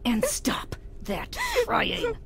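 A woman's voice: very high voice, wildly swooping pitch, very slow, creaky, in a whisper, heavily acted. A woman playing a cruel, angry mother in an audio drama, scolding and belittling with heavy sarcasm. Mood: mocking, sarcastic.

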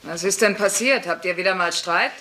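A woman's voice: medium pitch, fairly quick, sharp tone, a little hiss or hum, natural voice. An actress playing a blunt, sardonic working-class mother with a dry, wisecracking manner in a dubbed German sitcom. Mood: nosy.

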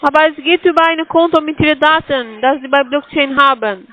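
Woman, high voice, halting scripted phone pitch, muffled lo-fi audio. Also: steady tone. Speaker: scam caller.

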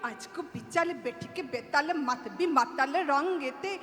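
A woman's voice: high-pitched, lively intonation, moderate pace, a bit bright. A female student giving a rhythmic poetry recitation on stage in a hall. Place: large indoor hall. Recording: echoey hall, faint background noise.

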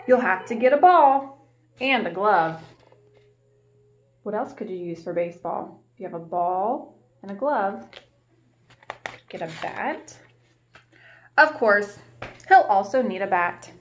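A woman's voice: mid-range voice, expressive. Young woman teacher reading a kids book on her webcam at home, kinda dull sound, little bit of hiss. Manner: warm teacherly, asks the children questions and pauses. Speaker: preschool teacher reading aloud. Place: small room at home by a window. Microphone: webcam at arm's length.

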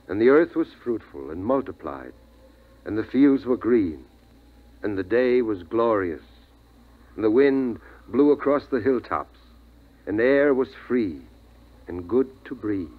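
A solemn storyteller intones with reverence and nostalgia. Every phrase is drawn out very slowly, on a thin, phone-like 1950s radio recording. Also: male voice, mid-range voice. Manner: very slow, reverent recitation. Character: solemn man reciting a ritual story of earth's past. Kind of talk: 1950s radio drama recitation.

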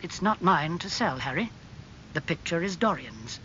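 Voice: medium pitch, expressive, normal speed, bright, forceful tone, lo-fi sound, a little hiss or hum. A narrator playing an earnest, wounded male painter and devoted friend in a dramatised audiobook.

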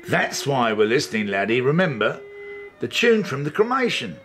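A gravelly, grumpy old police inspector. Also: male voice, medium pitch, sing-song, moderate pace, fairly bright tone, soft background music. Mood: smug, explaining. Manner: blunt, sarcastic, bossy. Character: grumpy, conceited, bullying older male police chief inspector. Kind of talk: radio drama acting.

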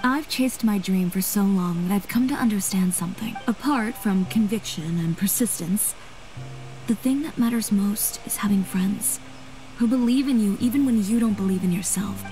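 Woman playing proud young designer lady, game dialogue, composed n blunt, mid pitch, music under it. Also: normal speed, mellow, natural voice, rough recording. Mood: sincere. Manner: composed, matter-of-fact. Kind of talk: video game voice acting.

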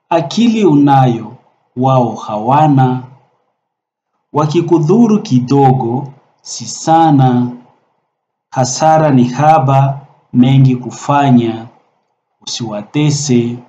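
A middle-aged man from the Democratic Republic of the Congo, a professor whose first language is Swahili, speaking slowly with many fillers as he introduces and recites a short poem from a webcam in a small room. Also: medium pitch, dark tone, echoey room, muffled recording. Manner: slow, hesitant speech with frequent fillers. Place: small indoor room at a desk.

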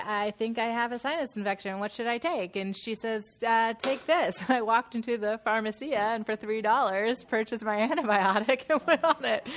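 A woman's voice: fairly high pitch, a bit bright. A woman in her thirties leading a women's Bible study, sounding nasal from a sinus infection, chatty and laughing as she talks to the group. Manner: chatty and laughing, with many fillers and restarts. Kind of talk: casual talk to a women's group. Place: church meeting room.

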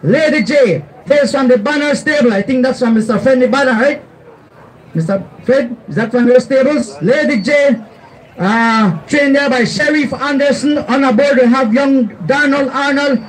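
High-pitched man calling a horse race. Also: normal speed, rough recording. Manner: excitable, rising to shouts at the finish. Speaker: race announcer. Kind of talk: live horse race commentary. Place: outdoor race track stand. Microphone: handheld mic held close.

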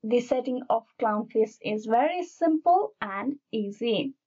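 A woman presents a scripted how-to narration as the host of a tutorial. Her voice sits a little high and has a dark, mellow tone. The recording is dull and somewhat lo-fi. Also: expressive, a little slow, slightly rough. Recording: dull, muffled lo-fi voice-over.

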